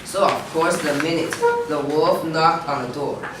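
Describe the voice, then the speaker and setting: Low-voiced woman narrating from far off. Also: unhurried. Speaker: reader's theater narrator. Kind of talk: scripted storybook narration. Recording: very echoey, distant, noisy room.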